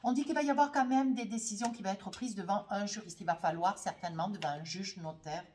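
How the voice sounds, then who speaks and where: A woman gives a spoken tarot reading while she has a cold. Her delivery is hesitant and rambling, with frequent filler tags. Her voice sits at a middling pitch. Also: quick pace, a little mellow, a little hiss or hum. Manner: rambling, hesitant, full of "hein" and "voyez" tags. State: has a cold, runny nose. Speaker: tarot card reader.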